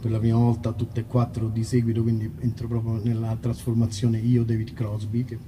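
A man's voice: mid-range voice, completely flat delivery, warm tone, slight background noise. Man chatting on stage, distant live sound. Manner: rambling, halting, self-interrupting. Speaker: singer-songwriter. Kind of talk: informal spoken introductions between songs at a live concert. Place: outdoor festival concert in a nature park. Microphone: stage microphone through amplification.

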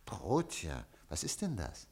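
Excitable, swooping male newspaper boss. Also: low-pitched, slow, creaky, exaggerated voice. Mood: bewildered, hesitant. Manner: volatile, swings from hesitant mumbling to urgent exclamation. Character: excitable male newspaper director hungry for a scoop. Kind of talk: German radio play acting.

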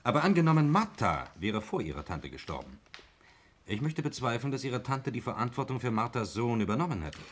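An actor playing a polite, probing police sergeant in a 1960s German radio play, courteous and insinuating. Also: male voice, mid-range voice, big pitch swings, a little fast. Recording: 1960s radio recording, hiss and clicks.

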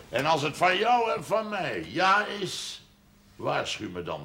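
A man's voice: mid-range voice, vocal fry, full character voice. A middle-aged man playing a blunt, shrewd village businessman in a television period drama. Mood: firm, conspiratorial. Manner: emphatic, plain-spoken, forceful. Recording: faint background noise on TV audio.

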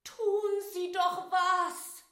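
A very high-pitched, hysterical young woman clerk. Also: flat delivery, drawn-out words, bright, forceful tone, exaggerated voice. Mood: hysterical, indignant. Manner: gossipy, exasperated, whispering and wailing by turns. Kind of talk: German radio play acting.

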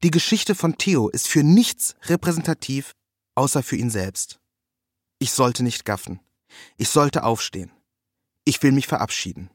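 A man's voice: mid-range voice, expressive, measured pace, slightly rough, no room sound, clean studio sound. A man reading a scripted first-person literary narrative as its narrator.

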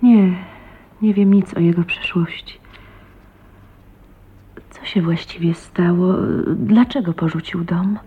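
An actress in a radio drama playing a shy, polite young wife, with a husky, breathy voice and a hesitant, soft-spoken manner. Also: female voice, on the low side, slow, long pauses, full character voice. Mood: timid, curious. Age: young adult. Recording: muffled thin narrow-band radio recording.

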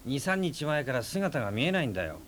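A man's voice: medium pitch, big pitch swings, brisk, a bit bright, slight background noise. An actor playing a dry, logical middle-aged man in a radio drama, talking in a matter-of-fact, reasoning way. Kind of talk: 1990s radio drama acting. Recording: music bed under the dialogue.

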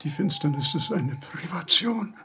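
An actor playing a frail, confused old philosopher in a radio drama, halting and muttering as he repeats himself. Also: a little high, animated, background noise, natural voice. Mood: confused, anxious. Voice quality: very husky, creaky, breathy.

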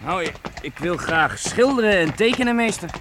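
A man's voice: sits high, lively intonation, moderate pace. An actor playing an eager, respectful medieval boy in a radio play, polite and a little hesitant. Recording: noisy old radio play recording.

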